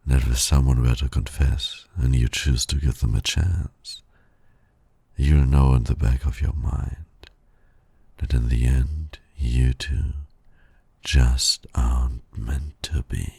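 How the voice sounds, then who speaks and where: A man playing a suave, flirtatious villain who teases and courts his nemesis in an intimate ASMR roleplay, with a husky, gravelly, breathy voice. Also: rumbling low, no echo, heavily acted. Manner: slow, breathy, suave teasing with soft chuckles.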